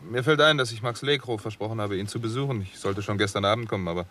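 Wry, low-voiced detective-story doctor. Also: male voice, fast, sharp tone. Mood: polite, hesitant. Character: wry, reserved male doctor narrating his detective story. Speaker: lead actor and first-person narrator. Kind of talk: 1960s German radio crime drama acting. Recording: 1960s radio recording, faint background noise.

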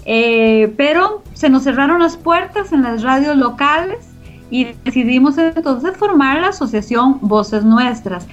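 A woman's voice: high-pitched, expressive, measured pace, highs cut off, soft background music. A middle-aged woman, giving reflective, explanatory answers as an interview guest. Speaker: interview guest, organization founder.

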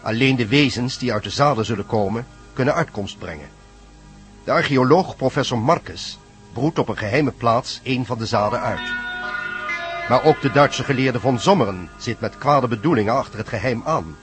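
A man's voice: medium pitch, moderate pace, fairly bright tone. A man narrating a scripted, dramatic recap as the narrator of a radio serial. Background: music.

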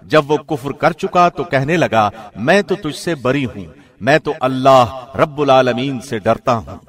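A man with a medium-pitched voice reads translated scripture aloud. His delivery is formal and measured, like a professional radio presenter working from a script. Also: animated. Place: studio.

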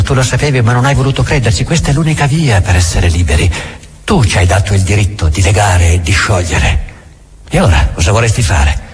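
An actor playing a cynical, accusing inquisitor in a theatrical monologue, halting and full of rhetorical questions, weary and menacing. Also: male voice, medium pitch, slightly rough. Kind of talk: theatrical monologue, radio drama. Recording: music under the voice. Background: music.

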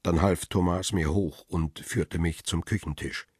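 An actor narrating in the first person as a battered photographer in a radio drama, measured and husky. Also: male voice, low-pitched, fairly even intonation, a little mellow, no room sound, studio quality. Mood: weary. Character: battered male photographer recalling events, wry and weary.

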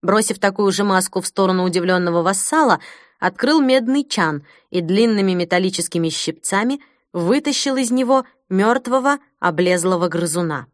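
A woman narrating a fantasy audiobook in a measured, expressive storytelling manner. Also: mid-range voice, no room sound, studio quality. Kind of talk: scripted dramatic narration of fantasy fiction.

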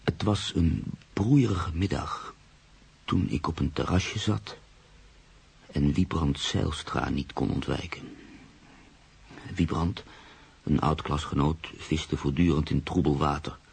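Very deep, gravelly brooding man. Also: darkish tone, full character voice. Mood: reflective, uneasy. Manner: measured, literary, pensive. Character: brooding, sceptical man narrating his own uneasy story. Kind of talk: radio drama narration and dialogue.

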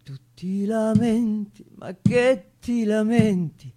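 A woman's voice: medium pitch, slow, mellow. A woman singing a repetitive folk theatre song with music behind her.

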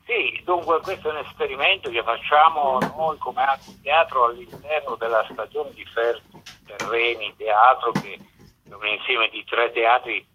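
A man's voice: medium pitch, moderate pace, pushed and bright, slight background noise. A man, a theatre director interviewed over the telephone and explaining his own work. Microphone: phone call. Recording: dull telephone line.

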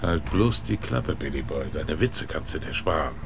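A man acting in a radio drama as a gloomy, grumpy expedition member, brooding and gruff as he talks. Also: rumbling low, animated, moderate pace, background noise, heavily acted.

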